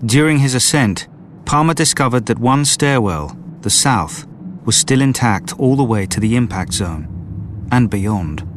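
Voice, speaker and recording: A man's voice: mid-range voice, expressive, unhurried, slight background noise. A man reading scripted narration as a documentary narrator. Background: clear background music.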